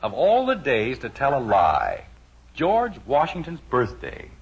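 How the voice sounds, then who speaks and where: A man's voice: medium pitch, huge pitch swings, measured pace, husky, exaggerated voice. An American man acting a patient, dry, hesitant middle-aged father in a 1950s radio sitcom. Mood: gently scolding. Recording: dull old radio recording, faint noise.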